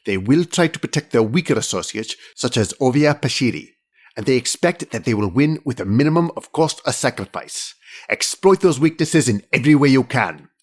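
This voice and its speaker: A man acts out a coolly calculating alien official for a fantasy audio drama. He is formal and pedantic, every phrase measured, yet his mid-pitched delivery moves widely up and down. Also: animated, close to an everyday voice. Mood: coldly commanding. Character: precise, haughty male alien inspector, coolly calculating official.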